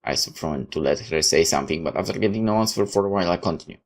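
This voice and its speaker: A man reading a first-person story aloud in a dramatic, slightly halting, expressive way. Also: sits low. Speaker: video game player reading a visual novel aloud.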